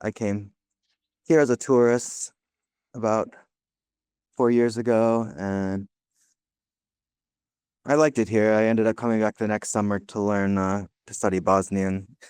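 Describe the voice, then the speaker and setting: A young American man from the United States, answering interview questions casually with many pauses, fillers and restarts. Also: on the low side, steady tone, darkish tone, clear, resonant tone, dry recording. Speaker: guest, laundromat owner.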